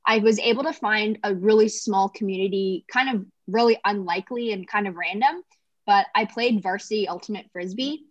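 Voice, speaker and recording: A woman's voice: medium pitch, normal speed, no room sound, dull audio. A young woman from Canada, chatting casually in an interview and often saying "like". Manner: chatty, lots of "like" fillers.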